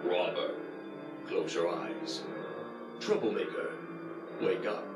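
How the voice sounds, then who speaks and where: A man's voice: low voice, takes it slowly. A man narrating scripted game instructions as the moderator. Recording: roomy echo, music behind. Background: music.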